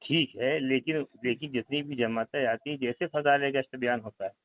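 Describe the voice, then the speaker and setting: A man's voice: mid-range voice, steady tone, normal speed, dry recording. A man on a recorded phone call, arguing about religion in an insistent way and pressing with repeated leading questions. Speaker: caller questioning a religious group member. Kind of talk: phone conversation, religious argument. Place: phone line.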